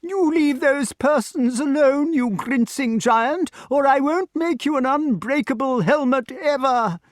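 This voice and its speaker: A man's voice: extremely high pitch, a little slow, no room sound, voice pushed up. A man voicing a feeble but defiant imprisoned inventor in a storybook, with a protesting delivery.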